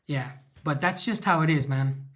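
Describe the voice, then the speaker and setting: A man's voice: fairly high pitch, moderate pace, a bit bright. A man from Southern California with a Mexican American East Los Angeles accent, casually chatting on his livestream, rambling, restarting words and greeting viewers by name. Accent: Mexican American English from East Los Angeles. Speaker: livestream host.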